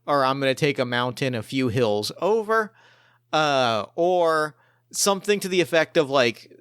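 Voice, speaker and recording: Man, game master, clear smooth voice, halting improvised narration, repeated phrases, dry close sound. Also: mid-range voice, sing-song, fairly bright tone, no echo.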